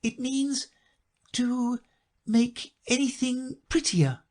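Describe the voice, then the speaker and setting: A man's voice: extremely high pitch, extremely slow, a little mellow, voice pushed up, full character voice. A man voicing a polite, curious young girl who asks earnest questions in a raised voice.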